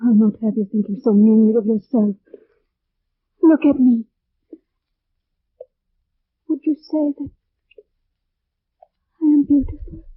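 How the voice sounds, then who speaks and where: High-voiced, ardent young maiden. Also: female voice, slow, long pauses, no echo. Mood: earnest pleading. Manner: earnest, theatrical, formal phrasing. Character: proud, ardent young French noblewoman. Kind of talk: 1940s radio drama acting. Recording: tinny old radio recording.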